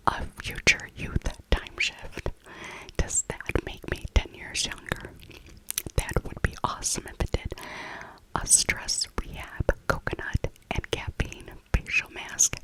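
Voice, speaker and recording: A middle-aged woman whispering a casual, rambling ASMR shopping voiceover, softly repeating her phrases. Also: very high voice, low-quality recording. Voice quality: soft unvoiced whisper. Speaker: ASMR vlogger.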